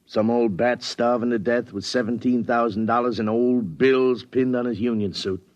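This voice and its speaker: Man as American police sergeant, practical and gruff, offhand colloquial delivery, medium pitch, vintage radio drama with dull audio. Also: steady tone, moderate pace, darkish tone, lightly acted. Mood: dismissive. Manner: matter-of-fact, dismissive, colloquial. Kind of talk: 1950s radio drama acting. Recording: dull, lo-fi 1950s radio broadcast.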